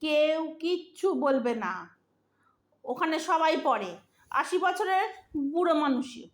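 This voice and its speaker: A woman playing an exasperated, sharp-tongued young married daughter in an audio drama, bossy and impatient, with a Bengali accent. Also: sits high, lively intonation, unhurried. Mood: teasing impatience. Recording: roomy, echoing audio drama recording.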